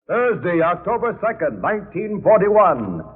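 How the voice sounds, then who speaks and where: A man's voice: sits high, very animated, a little slow, slightly rough. A man announcing in 1940s radio style. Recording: tinny old radio broadcast, long reverb, music. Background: loud music.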